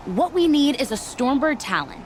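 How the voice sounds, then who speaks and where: A woman's voice: high-pitched, sing-song, moderate pace, slight background noise. A woman acting an eager young weapon tinkerer in a video game, chatty and stumbling over words.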